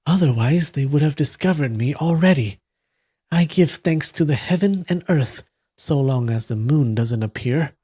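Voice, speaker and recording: A man's voice: a little high, animated, normal speed, mellow, husky, voice pushed up. A man as a brooding, suspicious villainous witch. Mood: relieved. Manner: brooding, suspicious inner monologue. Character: wary, blind villainous martial-arts witch, female.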